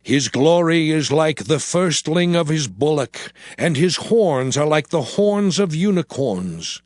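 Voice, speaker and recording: A man playing Moses, a solemn patriarch, in a declamatory, measured dramatized scripture reading. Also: on the high side, very animated, a little mellow, dry recording, studio quality. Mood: solemn, exalted.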